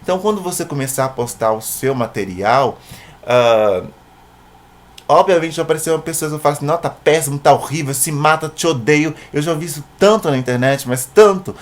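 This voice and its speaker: A man's voice: fairly high pitch, a little fast. A man with a Brazilian Portuguese accent narrating an informal singing tutorial in a conversational way, often checking in with short tag questions.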